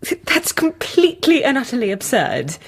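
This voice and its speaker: An actress in a radio drama playing a young, earnest, lovestruck doctor, polite but hesitant, easily flustered and defensive. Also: female voice, high voice, sing-song, normal speed, husky, full character voice. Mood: defensive, incredulous. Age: young adult.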